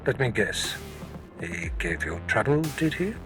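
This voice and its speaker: A man's voice: lowish voice, big pitch swings, measured pace, fairly bright tone, faint music behind. A man voicing a proud, sinister Sith lord in a video game, imperious and grandiose with a menacing manner. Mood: calculating, commanding.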